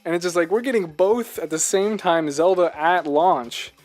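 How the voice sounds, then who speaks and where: A man with a slightly high voice talks casually about games as a podcast co-host. He is earnest and emphatic, like a devoted fan making his case with rhetorical questions, over faint music. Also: moderate pace, darkish tone. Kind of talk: casual video game discussion.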